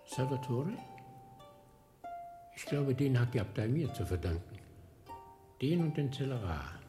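An actor playing a fervent, gloomy old monk in a radio drama, impassioned and dropping into hushed whispers. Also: male voice, medium pitch, sing-song, unhurried, music in the background. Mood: reflective. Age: older.

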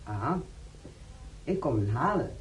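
An actress playing a brisk, bossy head nurse in a radio drama, giving directions. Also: female voice, extremely low pitch, big pitch swings, echoey room, far from the mic, heavily acted, rough recording. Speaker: radio play actor.

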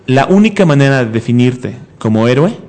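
A man's voice: mid-range voice, sing-song, fairly quick, a little mellow. A man of twenty-five in a heated, casual debate as a comics show host, emphatic and argumentative, often repeating himself and cutting in.

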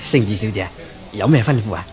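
A man's voice: lowish voice, expressive, brisk, a little mellow, close to an everyday voice. An actor with a Hong Kong Cantonese accent playing an obsequious, ingratiating male nightclub manager in a 1970s radio drama. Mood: eager, fawning. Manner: servile, ingratiating, chuckling. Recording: old radio recording, music behind.

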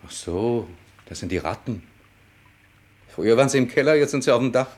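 A man's voice: mid-range voice, expressive, brisk, close to an everyday voice. An actor playing a weary, morbid, resigned man in a radio play, subdued and terse.

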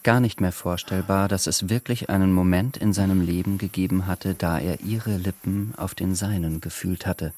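A man's voice: low-pitched, fairly even intonation, normal speed, few pauses, darkish tone. A man narrating a literary radio drama in a scripted storytelling style. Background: faint music.

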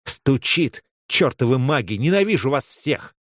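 A man's voice: medium pitch, very animated, unhurried, fairly bright tone, dry recording, clean studio sound, voice pushed up. A man voicing a fiery, stressed young woman medic in an audiobook story, exclaiming in an agitated manner. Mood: overwrought.

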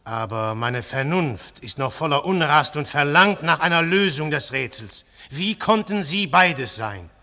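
A measured, earnest narrator. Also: male voice, on the high side, lively intonation, pushed and bright, heavily acted. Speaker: narrator and character. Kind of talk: first-person radio play narration.

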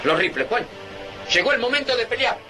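A man's voice: extremely high pitch, animated, a little fast, over music. A man playing a resolute, pragmatic survivor in a radio drama, speaking earnestly and decisively. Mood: tense, urgent.

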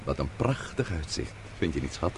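An actor in a radio drama playing a worried, courteous husband, hesitant and polite, with a very husky voice. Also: male voice, rumbling low, sing-song, normal speed, background noise. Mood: tender, attentive. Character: worried, courteous husband, deferential to his father-in-law.

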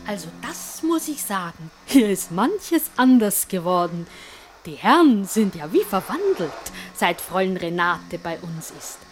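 A woman's voice: medium pitch, sing-song, measured pace, faint music behind. An actress playing a chatty, skeptical, nosy charwoman in a German radio play. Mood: gossipy, skeptical. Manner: gossipy, opinionated.

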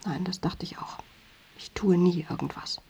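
An actress in an intimate radio drama playing a patient, lonely wife, speaking softly in a breathy half-whisper with gentle persistence. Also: female voice, mid-range voice, a little slow. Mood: gently reproachful. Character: patient, lonely wife seeking her husband's affection.

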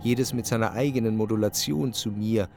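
A man playing a reflective, jealous lover who narrates his memories in measured literary prose for a radio drama. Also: on the low side, vocal fry, music in the background. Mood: contemplative remembering.

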